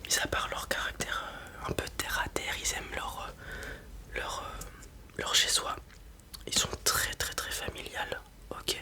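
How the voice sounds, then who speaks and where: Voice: unhurried, pushed and bright. A performer voicing a reassuring fantasy dragon breeder in an ASMR roleplay, whispering breathily and explaining things informally, with small hesitations. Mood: friendly, persuasive.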